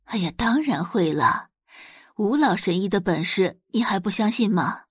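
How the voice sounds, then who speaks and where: Brief: woman plays wealthy middle-aged mother, soothing yet superior, very lively intonation, audio drama. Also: medium pitch, moderate pace, slightly rough, dry recording, studio quality. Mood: reassuring. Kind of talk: Chinese audio drama acting.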